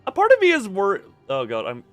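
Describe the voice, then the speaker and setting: A man's voice: sits high, huge pitch swings, brisk, a bit bright, no echo. A man doing casual live commentary as a game streamer, exclamatory and rambling. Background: faint music.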